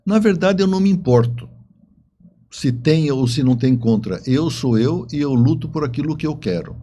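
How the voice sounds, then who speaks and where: Mellow older man, faint noise. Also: on the high side, expressive, moderate pace. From southern Brazil. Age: 70s. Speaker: interview guest, writer and engineer. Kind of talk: conversational interview storytelling.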